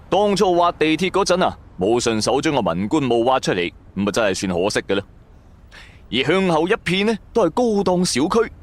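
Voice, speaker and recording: A man's voice: medium pitch, no room sound. A man with a Cantonese accent narrates a scripted first-person story in a colloquial, dramatic storytelling manner. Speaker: storyteller performing an audio drama. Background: background music.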